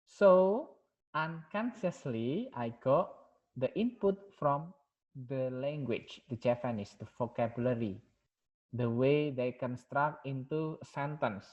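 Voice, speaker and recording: A male university lecturer with an Indonesian accent reviewing the course in an online class, halting and full of fillers and repeated "yeah". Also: medium pitch, darkish tone, lo-fi sound.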